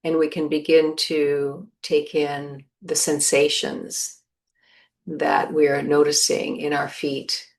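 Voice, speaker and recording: A woman leading a guided meditation in a measured manner, pausing between short phrases. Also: low-pitched, vocal fry.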